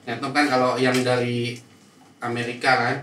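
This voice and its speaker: Echoey, bright-voiced Jakarta man, teasing. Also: medium pitch, little pitch movement, moderate pace. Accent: Jakarta Indonesian. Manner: teasing, slangy, jokey. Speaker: podcast host asking questions. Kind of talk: casual group chat with banter. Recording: echoey room, distant mic, faint noise.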